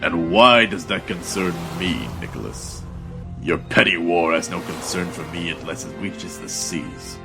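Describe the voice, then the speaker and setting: A man's voice: low voice, a little slow, creaky, over music. A man playing a proud, scornful undersea king in an audio drama, with a haughty, formal and threatening way of speaking. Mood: disdainful.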